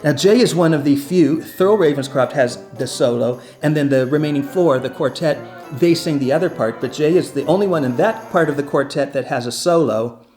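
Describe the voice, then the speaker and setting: A man's voice: on the high side, moderate pace, few pauses, a little mellow. Man telling stories, music behind. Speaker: presenter and storyteller. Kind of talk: casual storytelling about theme park history.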